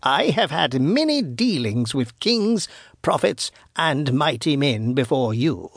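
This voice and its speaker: A man voicing a wicked, boastful old witch in a storybook, with a craggy voice and slow, threatening delivery. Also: on the high side, big pitch swings, gravelly, slightly rough, no echo, studio quality, heavily acted. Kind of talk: storybook narration character voice.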